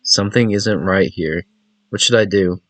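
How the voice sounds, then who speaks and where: A man with a fairly low voice plays through a game, reading its text aloud and commenting casually. He slips in wry asides. The sound is close and dry, with little room in it. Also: normal speed, fairly bright tone, dry recording. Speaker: gaming channel host.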